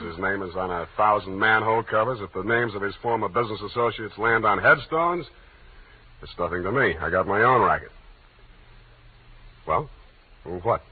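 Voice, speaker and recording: An actor playing a wry, hard-boiled private detective with an offhand, halting delivery in 1940s radio style. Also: male voice, low-pitched, slightly rough. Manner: wry, offhand, punctuated with uhs. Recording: narrow-band, noisy 1940s radio recording.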